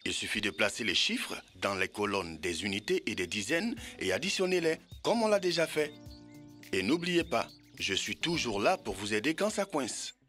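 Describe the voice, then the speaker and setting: Kindly instructive old mentor, kids' cartoon; very animated delivery, encouraging. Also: male voice, fairly low pitch, moderate pace, soft background music. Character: encouraging elder mentor teaching children sums. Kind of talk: French-dubbed educational children's cartoon.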